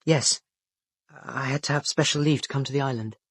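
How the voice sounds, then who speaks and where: An actor playing a polite, earnest teenage schoolboy in a radio play, speaking hesitantly and deferentially. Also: male voice, mid-range voice, fairly even intonation, fairly quick, dry recording, clean studio sound, natural voice.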